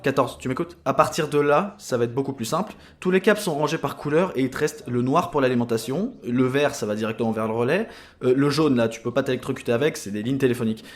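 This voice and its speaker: A man's voice: medium pitch, fast talker, lightly acted. A man playing an irritable, know-it-all clone technician in a comic audio drama, lecturing impatiently. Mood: instructive, annoyed. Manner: didactic, impatient, foul-mouthed.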